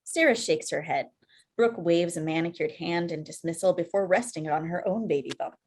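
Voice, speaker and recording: A woman's voice: lowish voice, fairly quick, darkish tone. A woman reading scripted screenplay stage directions as narrator on a video call. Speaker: filmmaker, stage-direction reader.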